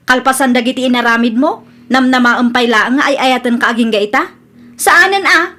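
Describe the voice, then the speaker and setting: Very high-voiced exasperated ex-girlfriend played by a woman. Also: moderate pace, sharp tone. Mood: exasperated, scolding. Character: exasperated young woman fed up with her ex. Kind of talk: comedy radio drama acting.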